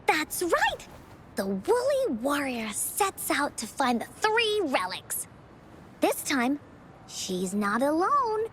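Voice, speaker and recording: A very high-pitched, lively little girl from a video game. She tells adventure tales with encouragement and theatrical pauses, her intonation rising and falling. There is a faint rumbling noise behind her. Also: heavily acted. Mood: storytelling, spirited. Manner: theatrical, deliberate storytelling with dramatic pauses. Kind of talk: video game voice acting, dramatic storytelling.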